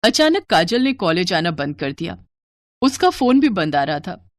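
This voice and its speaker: Expressive woman narrating a story. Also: fairly low pitch, moderate pace, dry recording, studio quality. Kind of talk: scripted moral-story narration.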